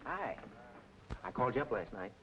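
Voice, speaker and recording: A man's voice: on the low side, normal speed, noisy background, close to an everyday voice. An actor playing an eager, hopeful, good-natured young working man in a vintage film noir drama. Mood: friendly. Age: young adult. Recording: dull vintage film soundtrack with music.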